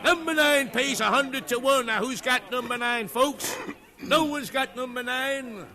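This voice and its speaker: An actor playing a shifty, bullying carnival barker in 1950s radio drama, with sing-song hawking patter. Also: male voice, very high-pitched, unhurried, sharp tone, heavily acted. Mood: brisk hawking. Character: shifty bullying male carnival barker, wheedling then cowed.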